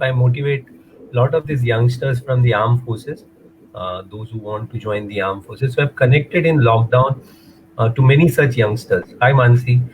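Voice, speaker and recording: A man's voice: medium pitch, a little hiss or hum, rough recording. A man in his forties from Mumbai with an Indian English accent, chatting as an interview guest over a video call, hesitant and full of fillers, slipping into Hindi. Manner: hesitant, many fillers, rambling. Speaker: guest, runner and motivator. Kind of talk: casual interview chat, mixing in Hindi.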